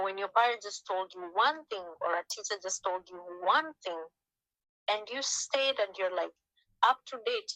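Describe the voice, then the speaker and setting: Brief: woman from Africa, bright clear voice, dry close sound, conversational speaker on a group call. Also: no echo, rough recording. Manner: conversational, acts out quoted parents with jumps in pitch. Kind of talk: informal talk on a group call.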